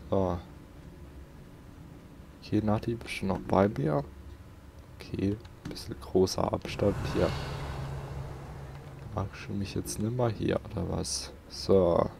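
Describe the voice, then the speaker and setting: A man's voice: deep voice, takes it slowly, creaky, pauses often, background noise. A man giving casual gameplay commentary. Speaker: let's player. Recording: game music faintly behind. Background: faint video game music.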